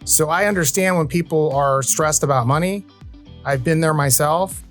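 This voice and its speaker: A man's voice: a little high, moderate pace, faint music behind. A man in his fifties hosting a podcast, talking earnestly and at length in a conversational interview style. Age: 50s. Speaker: podcast host.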